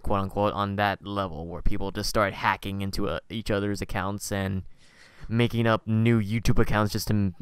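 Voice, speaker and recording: A man hosting a podcast in casual conversation, talking in a rambling, halting way and often correcting himself. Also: fairly low pitch, a bit bright, clean tone, no room sound. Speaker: podcast host. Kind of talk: casual interview chat.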